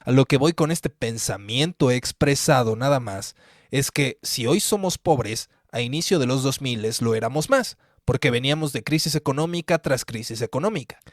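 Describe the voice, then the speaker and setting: A man's voice: medium pitch, no echo, studio quality. A man in his twenties with a Mexican accent, narrating a scripted video essay as its host. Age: 20s.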